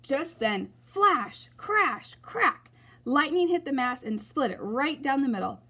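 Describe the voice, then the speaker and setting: A woman narrates a picture book for children. Her voice sits fairly high and moves up and down with lots of animation, in an expressive read-aloud style. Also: slow. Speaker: storybook reader.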